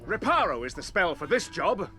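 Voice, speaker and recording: An actor playing a patient, encouraging wizard tutor who coaches step by step in a video game. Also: male voice, high voice, sing-song, normal speed, sharp tone, faint music behind, full character voice. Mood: patient instruction. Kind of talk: instructional video game dialogue.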